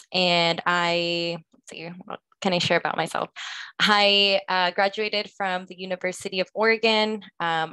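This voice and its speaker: A woman's voice: fairly low pitch, fairly bright tone, smooth, no room sound, low-quality recording. A woman giving an informal presentation at an online conference session, speaking somewhat hesitantly with frequent fillers. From Los Angeles, California. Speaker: presenter, student organization advisor.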